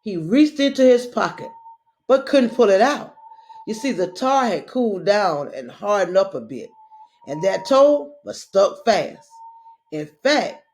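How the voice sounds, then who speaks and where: A woman reading a children's story aloud in a dramatic, deliberate way, drawing out her phrases. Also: medium pitch, slight background noise. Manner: deliberate, expressive, drawn-out phrasing.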